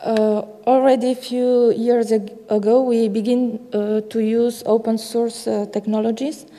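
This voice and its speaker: A woman with a Romanian accent speaks slowly and haltingly, stumbling through a technical talk with many filler sounds. Her voice sits a little high but sounds very dark and mellow. It rings with the long reverb of a large conference hall. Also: little pitch movement. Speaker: conference presenter. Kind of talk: technical presentation.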